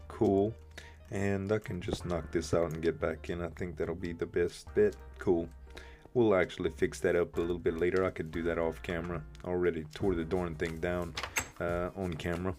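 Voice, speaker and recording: Man, very low pitch, deep voice, rough morning voice, rambling build commentary, faint music behind. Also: fairly even intonation, normal speed, a little mellow. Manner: rambling, thinking aloud while building. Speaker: let's play host. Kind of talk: casual gameplay commentary.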